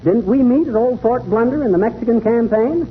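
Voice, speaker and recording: An actor playing a cocky retired army major who spins tall tales, in folksy American 1930s radio comedy style. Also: male voice, very high voice, fairly quick. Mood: boastful, folksy. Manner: folksy, boastful, ungrammatical wisecracking. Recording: noisy, tinny 1930s radio recording.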